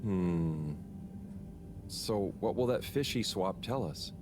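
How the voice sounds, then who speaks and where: A timid, earnest young helper. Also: male voice, low voice, lively intonation, slow, noisy background. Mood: curious. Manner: nervous, apologetic, rambling. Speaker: lab helper. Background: game music.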